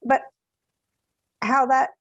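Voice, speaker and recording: A middle-aged woman with a Mississippi accent, a therapist answering interview questions thoughtfully with pauses. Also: sits high, level tone, a bit bright, no room sound, dull audio. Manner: thoughtful, pauses to find words. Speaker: therapist guest.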